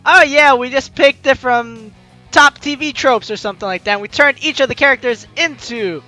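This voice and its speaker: A man's voice: very high-pitched, moderate pace. A man doing casual let's play commentary, reading game text aloud and adding teasing, sarcastic asides. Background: soft game music.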